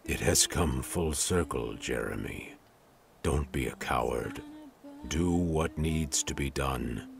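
A man playing a menacing shadowy spirit who gives slow commands in a radio mystery drama. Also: extremely low pitch, expressive, creaky, a crowd in the background, exaggerated voice. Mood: cold, commanding. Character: menacing male dark silhouette spirit urging vengeance.

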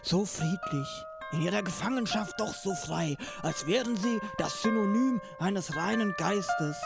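An actor playing a drunken, boorish grown son in a parody audio drama, rambling and crude with bursts of pomp. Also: male voice, high-pitched, a little slow, gravelly, over music. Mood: rapturous, pompous. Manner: crude, rambling, stumbling over words. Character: drunken, boorish grown son, slurring, sometimes pompously poetic.

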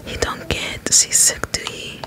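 A woman whispering the slow, drawn-out verses of a folksy male frog boatman from a video game, ASMR style. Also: lightly acted. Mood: wistful, easygoing. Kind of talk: ASMR read-out of game dialogue.

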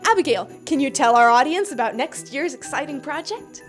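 Animated young woman hosting, high voice. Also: a little fast, soft background music. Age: young adult. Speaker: host interviewing colleagues. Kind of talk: light scripted promotional interview. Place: rooms of a house and a barn. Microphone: boom mic held near.

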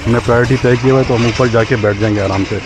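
A man's voice: mid-range voice, fairly even intonation, rapid-fire. Chatty man vlogging outdoors, noisy. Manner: chatty, pointing things out to viewers. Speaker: travel vlogger. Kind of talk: casual travel vlog narration. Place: outdoors at a harbor and on a tour boat deck.

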